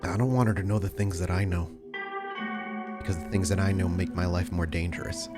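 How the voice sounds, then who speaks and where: A man playing an anxious, devoted brother who speaks earnestly in halting, stammering starts. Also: sits low, fairly even intonation, over loud music, natural voice.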